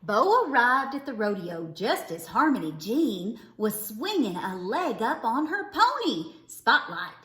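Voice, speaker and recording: High, very animated woman reading a storybook. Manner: theatrical, deliberate phrasing for children. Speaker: storybook reader. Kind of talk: expressive children's read-aloud narration. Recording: roomy, echoing indoor sound.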